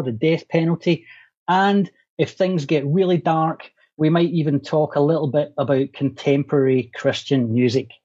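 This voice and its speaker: A man's voice: on the high side, a little mellow, slightly rough. A man hosting an online talk, introducing a guest with wry jokes. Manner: wry, jokey. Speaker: host. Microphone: video call. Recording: dull lo-fi sound.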